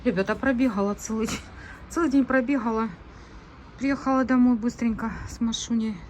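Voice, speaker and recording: A woman's voice: on the high side, fairly even intonation, a little fast, a little mellow. A woman vlogging casually on her phone camera, chatting to viewers about her day. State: scratchy throat, coming down with a cold. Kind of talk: casual vlog chat to camera. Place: at home indoors, then at an outdoor bus stop. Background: wind and passing traffic noise.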